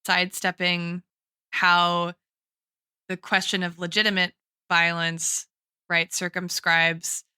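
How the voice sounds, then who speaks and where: A woman's voice: lowish voice, little pitch movement, takes it slowly, long pauses, pushed and bright, clean tone, dry recording, studio quality. A woman co-hosting a podcast, talking through arguments in an academic way, with frequent "like" and "right" as she goes. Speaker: podcast co-host. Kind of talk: analytical discussion.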